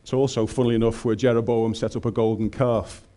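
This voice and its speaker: A man's voice: mid-range voice, level tone, fast, warm tone. A man with a Scouse accent preaching a sermon in a chatty, joking way, speaking into a microphone in a large room. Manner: humorous, conversational, colloquial.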